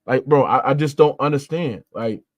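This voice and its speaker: A man's voice: mid-range voice, normal speed, dry recording. Guy hosting a sports debate, pushy and slangy, keeps hammering the same point, very dry audio. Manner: insistent, argumentative, repeats points, swears freely. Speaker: sports debate show host. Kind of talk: animated sports debate, slangy casual talk.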